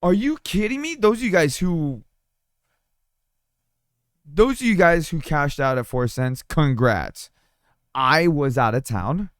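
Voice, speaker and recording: A man with a slightly hoarse, sore throat talking casually over a screen share, rambling with fillers and restarts. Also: on the high side, animated, slow pace, long pauses, dry recording, clean studio sound. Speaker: crypto mining YouTuber. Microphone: studio desk mic.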